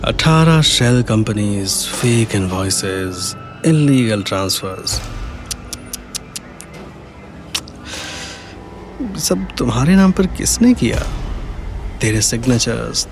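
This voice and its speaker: An actor playing a cool, fearless, vengeful businessman hero in an audio drama, measured and self-assured. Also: mid-range voice, expressive, faint music behind. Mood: coldly gloating.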